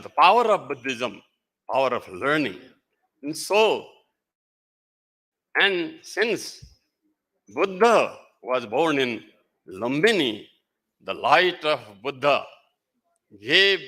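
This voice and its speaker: A man, a native Nepali speaker with a Nepali accent, giving a halting, emphatic formal speech as guest speaker at a ceremony. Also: a little high, bright, forceful tone. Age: older.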